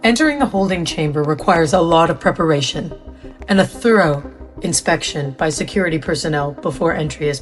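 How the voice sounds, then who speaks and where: An authoritative female scientist played by an actress briefs in a formal, measured manner for an audio drama. Her voice is on the low side, with faint music underneath. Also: close to an everyday voice. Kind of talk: scripted audio drama dialogue.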